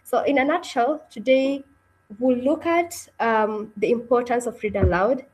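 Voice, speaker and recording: A woman's voice: on the high side, measured pace, darkish tone, low-quality recording. A woman hosting an online session as an informal presenter, often putting questions to her listeners and checking in with them. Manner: addresses listeners with questions and repeated check-ins. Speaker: webinar host.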